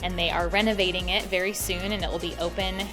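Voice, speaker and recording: An American woman with a fairly low voice explains a program in a promotional interview. She speaks as a coordinator, with music clearly playing behind her. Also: little pitch movement, fast talker. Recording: music bed under speech. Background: background music.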